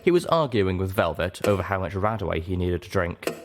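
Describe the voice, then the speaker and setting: A man reading fiction aloud as a dramatic first-person narrator, measured and expressive. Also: sits low, background noise. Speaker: audiobook narrator.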